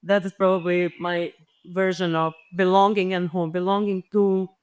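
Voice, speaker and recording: A woman's voice: on the low side, level tone, normal speed, clear, resonant tone. A woman from the former Yugoslavia, a native Serbo-Croatian speaker, thinking aloud on a panel with many hesitations. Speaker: panelist and literature researcher. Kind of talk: reflective panel discussion answer.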